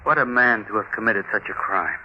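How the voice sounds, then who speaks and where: An actor playing a musing, self-important police officer in a 1950s radio drama, talking deliberately to himself. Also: male voice, lowish voice, natural voice. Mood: awed musing. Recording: muffled, noisy 1950s radio recording.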